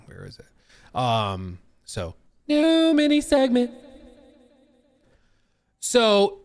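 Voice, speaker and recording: Male streaming host, casual rambling chat, slightly short of breath, very animated delivery. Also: very high voice, drawn-out words, no room sound, studio quality. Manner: rambling, animated, lots of fillers and restarts.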